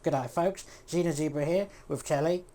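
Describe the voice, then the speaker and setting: Woman voicing a low breezy Aussie zebra puppet. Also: normal speed, mellow, close to an everyday voice. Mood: breezy, matey. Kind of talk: comedic puppet show dialogue.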